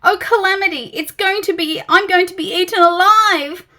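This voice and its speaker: A woman voicing a tiny, jittery man who panics at everything, in shrill, squealing bursts. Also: normal speed, sharp tone. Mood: panicky.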